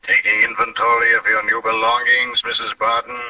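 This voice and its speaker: An actor playing a mysterious, mocking phantom avenger in an old radio mystery, theatrical and taunting. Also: male voice, mid-range voice, completely flat delivery, fairly quick, pushed and bright, dry recording. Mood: ominous, mocking. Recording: vintage radio recording, slightly distant.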